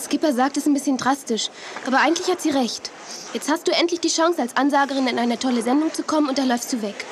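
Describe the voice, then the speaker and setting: An actress playing a sensible, encouraging young TV presenter in a children's radio play. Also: female voice, sits high, fast, background noise, close to an everyday voice. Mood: firm.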